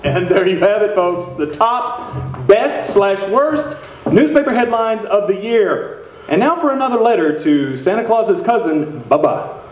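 A man's voice: high voice, lively intonation, measured pace. A man playing a small-town radio announcer, reading scripted links in a live comedy show. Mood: upbeat, announcing. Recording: echoey, dull theater recording.

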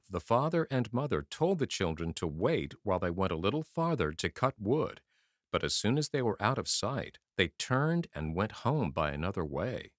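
Low-pitched, expressive male storyteller. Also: no room sound, clean studio sound. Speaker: audiobook narrator. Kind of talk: scripted fairy-tale narration.

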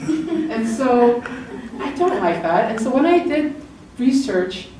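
A middle-aged woman giving an informal book talk, chatty and often laughing, lifting her pitch as she acts out quoted lines. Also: sing-song, slow, warm tone. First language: English. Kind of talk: informal presentation. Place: lecture room, indoors. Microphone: far camera in the room. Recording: roomy, distant camera audio with noise.

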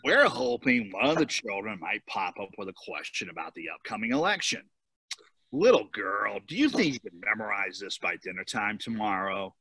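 Guy as pushy campaign advisor, raspy, really punchy, dry sound. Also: fairly low pitch, very animated, moderate pace, pushed and bright, no room sound, heavily acted. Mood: instructing. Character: brisk, blunt male political campaign advisor. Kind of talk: amateur cartoon table read. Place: home room, on a video call.

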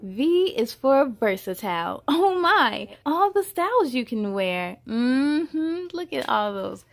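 Lady reading a kids picture book, kinda high voice, really slow, affirming, chats to the little ones. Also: sing-song. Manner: encouraging, affirming, addresses young listeners directly. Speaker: host reading aloud for children. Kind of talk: children's picture book read-aloud with casual comments.

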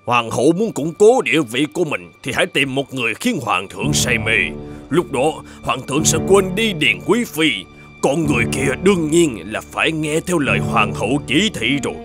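A man's voice: mid-range voice, big pitch swings, moderate pace, music in the background, close to an everyday voice. A man voicing a scheming, deferential middle-aged court official in a historical cartoon. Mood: sly, deferential. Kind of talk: dubbed historical cartoon acting.

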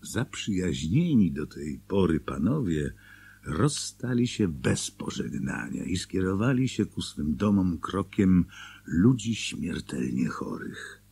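A man narrating a Polish radio play in a dramatic, scripted storytelling style with a husky voice. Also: sits low, big pitch swings, slow, a little mellow, exaggerated voice.

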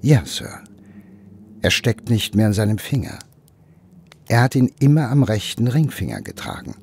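A man's voice: fairly low pitch, huge pitch swings, moderate pace, gravelly, a little mellow, slightly rough, heavily acted. A man playing a loyal, proper butler in an audio drama, polite and hesitant. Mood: dutiful. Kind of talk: German audio drama acting.

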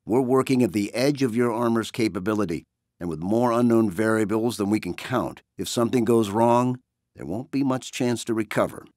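A man's voice: fairly low pitch, normal speed, darkish tone, no echo, clean studio sound, pitched above the performer's own voice, natural voice. A man voicing a stern, cautious female starship captain in a blunt military manner, for an audiobook. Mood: cautious, insistent. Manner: firm, blunt, formal military.

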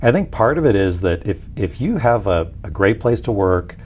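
A man's voice: lowish voice, big pitch swings, moderate pace, darkish tone, a little hiss or hum. A man in his forties, a company executive explaining things conversationally in an interview. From Peru. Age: 40s. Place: small indoor office lounge. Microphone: lapel mic.

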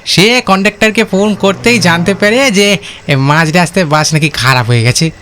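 A man's voice: high voice, moderate pace, sharp tone. A man voicing a helpful, nervous village van driver in a cartoon, deferential and hesitant, repeating his words. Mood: explaining kindly. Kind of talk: cartoon voice acting.

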